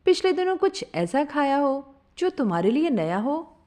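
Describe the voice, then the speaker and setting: A woman's voice: high voice, big pitch swings, moderate pace, mellow, pitched above the performer's own voice. A woman voicing an exasperated doctor in a comic story, questioning and scolding a foolish patient.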